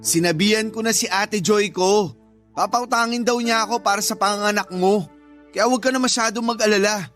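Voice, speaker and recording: An actor playing a proud, insecure working-class young husband who argues defensively in a radio drama. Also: male voice, sits high, brisk, fairly bright tone. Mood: dismissive. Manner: dismissive, defensive, argumentative. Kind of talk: Tagalog radio drama acting. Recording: music bed behind the voice.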